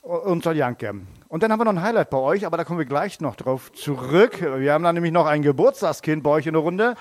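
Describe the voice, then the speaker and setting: A man's voice: fairly high pitch, expressive, quick pace. A man hosting a live radio broadcast at an outdoor festival, chatting and joking with guests and using many fillers. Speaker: event radio host. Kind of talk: live on-site interview at a festival.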